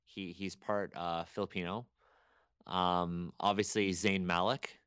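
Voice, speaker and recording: A young man from Canada, a guest chatting casually on a podcast, rambling and animated with many restarts. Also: low-pitched, measured pace, clear, resonant tone, no echo, lo-fi sound. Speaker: guest archaeologist.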